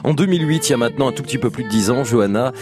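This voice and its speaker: A man with a husky voice, presenting a live radio interview in an effusive, admiring way. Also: mid-range voice, rapid-fire, music in the background. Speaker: radio host.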